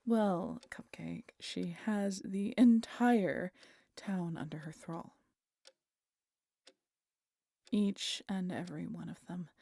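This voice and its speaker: A weary, guarded vampire woman, acted by a woman speaks in a low, gravelly voice with a husky, breathy edge. She is dryly sardonic yet protective, talking haltingly and intimately to one listener in an audio roleplay. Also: mellow, no echo, studio quality. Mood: grim, explaining. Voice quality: breathy, husky, often dropping to a whisper.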